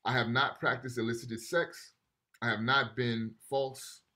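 Guy teaching a class on a video call, bright voice, laid back, keeps saying right?. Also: mid-range voice, flat delivery, unhurried. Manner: casual, repeats "right?", reads texts aloud. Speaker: lecturer. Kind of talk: university lecture over video call.

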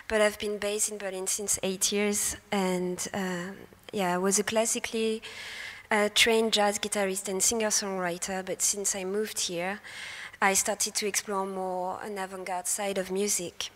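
A young woman from France with a French accent, giving a prepared talk into a handheld mic from a lectern. Her voice is soft and airy, and she hesitates and corrects herself now and then. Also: medium pitch, little pitch movement, a little slow. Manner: hesitant, with fillers and self-corrections. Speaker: speaker presenting research. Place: festival talk stage in a large hall. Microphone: handheld microphone at a lectern. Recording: long hall reverb.

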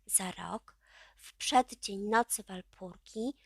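A woman narrating a children's audiobook in an expressive storytelling style. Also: mid-range voice, slow pace, vocal fry, breathy edge, no echo.

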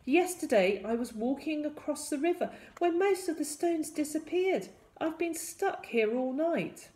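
A woman's voice: sits high, expressive, measured pace, a little mellow, voice pushed up. A woman voicing an anxious, pleading young boy stranded in a storybook tale, plaintive and emphatic.

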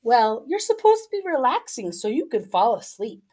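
A woman voicing a patient but weary father rooster in a storybook, gently scolding in an exasperated parental way. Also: fairly high pitch, very animated, normal speed, voice pushed up.

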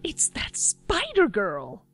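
A woman's voice: mid-range voice, wildly swooping pitch, slow pace, a bit bright, slightly rough, soft background music, heavily acted. An actress playing a frantic, paranoid, accusing woman in a radio play, agitated and insistent.

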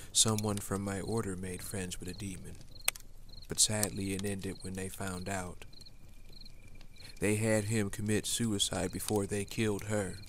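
A man playing a tender, uneasy demon slayer in a secret romance, speaking softly and hesitantly in an intimate ASMR roleplay. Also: sits low. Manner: soft, hesitant, affectionate. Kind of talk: ASMR roleplay, intimate one-sided dialogue. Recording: clear noise of outdoor night ambience, crickets. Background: crickets and night nature ambience.

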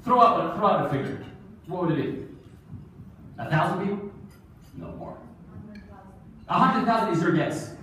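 A man in his forties telling stories as a keynote speaker on stage, lively, asking the audience questions. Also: high-pitched, moderate pace, long pauses, a little hiss or hum. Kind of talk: storytelling keynote speech. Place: large conference hall, on stage. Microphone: phone camera far from the stage. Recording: echoey hall recorded from far off.

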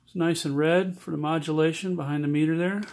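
A man giving an informal, halting, matter-of-fact product demonstration at an indoor workbench. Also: fairly high pitch, fairly even intonation. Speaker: seller demonstrating a CB radio. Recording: loud radio static, noisy amateur recording. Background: CB radio receiver noise.

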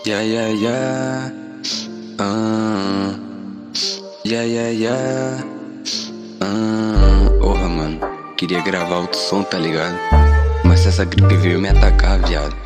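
A Brazilian man singing and rapping a trap track in a drowsy, melodic way with breathy ad-libs. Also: deep voice, steady tone, slow pace, long pauses, loud music behind the voice. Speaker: trap artist. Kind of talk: sung and rapped trap track. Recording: loud backing beat over vocals. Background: loud trap beat.

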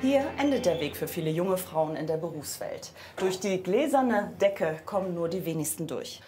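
Low-voiced woman reporting on site. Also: expressive, normal speed, over music.

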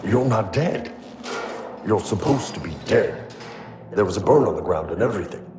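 An actor playing a big, blunt, gruff warrior in a video game, with a sardonic manner. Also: male voice, lowish voice, animated, moderate pace, over music, close to an everyday voice. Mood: sardonic disbelief. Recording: cavernous reverb, clear background noise.

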